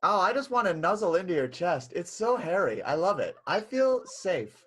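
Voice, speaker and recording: Eager, oblivious, clingy vaudeville chap, played by a man: raspy, high, lively swoops, radio comedy. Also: moderate pace, slight background noise. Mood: smitten. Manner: gushing, eager. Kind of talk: improvised radio soap opera acting.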